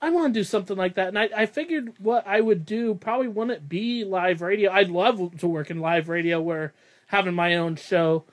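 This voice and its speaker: A man's voice: high voice, normal speed. A young man hosting a casual podcast, rambling and self-deprecating, laughing often. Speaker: podcast host.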